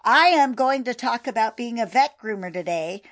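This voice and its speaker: Chatty American woman, slightly high voice. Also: animated, moderate pace, fairly bright tone. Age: 50s. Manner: talkative, enthusiastic. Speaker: podcast host, mobile pet groomer. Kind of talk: chatty podcast hosting.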